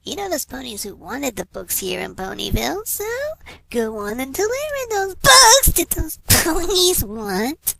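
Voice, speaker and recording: Shrill, frantic cartoon unicorn pony. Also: female voice, sing-song, a little slow, creaky, background noise, exaggerated voice. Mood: manic cheer. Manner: manic, over-sweet, twitchy dramatic delivery. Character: frantic, unhinged young female unicorn pony student. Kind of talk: fan fiction audio reading, cartoon character acting.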